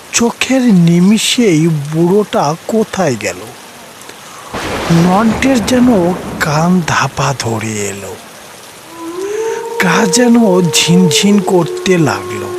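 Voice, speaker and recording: A man reads a scripted horror tale in a dramatic style. His voice is a little high and moves widely in pitch, and the delivery is very slow. Faint rain noise runs behind him. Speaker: storyteller.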